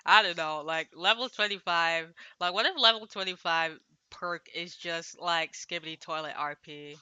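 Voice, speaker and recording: A woman's voice: on the low side, sharp tone, clear, resonant tone, no echo, muffled recording. A woman streaming a visual novel, reading translated dialogue aloud and adding casual, slangy asides. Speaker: game streamer.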